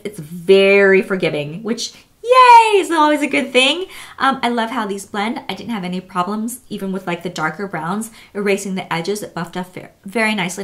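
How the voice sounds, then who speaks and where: A woman's voice: fairly high pitch, a bit bright. A woman giving a casual, enthusiastic makeup review as a beauty blogger, talking conversationally to the camera.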